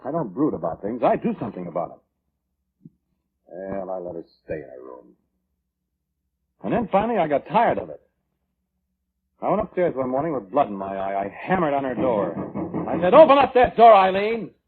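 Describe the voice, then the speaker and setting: A man's voice: medium pitch, huge pitch swings, moderate pace, long pauses. A man acting in a 1940s radio drama, playing a violent, self-justifying man who defensively recounts his grudge. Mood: brooding. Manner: defensive, blustering. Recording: muffled old radio broadcast, music underneath.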